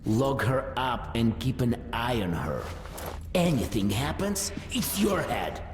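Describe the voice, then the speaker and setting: An actor playing a cold, commanding military villain in a video game, threatening. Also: male voice, mid-range voice, sing-song, slow, background noise. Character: cold, menacing male military villain giving orders. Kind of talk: video game cutscene acting. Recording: echoing hall with music.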